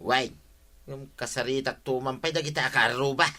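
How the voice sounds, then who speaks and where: A man's voice: medium pitch, fairly even intonation, normal speed, pushed and bright, close to an everyday voice. An actor playing an irritated, blustering village man in an Ilocano radio comedy drama.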